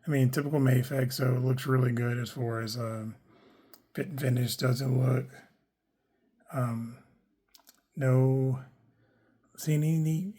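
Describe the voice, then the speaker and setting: A man with a hoarse, worn voice, chatting casually through a toy unboxing, rambling with lots of fillers and self-corrections. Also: mid-range voice, dark tone. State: hoarse, losing his voice. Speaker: toy collector reviewing action figures.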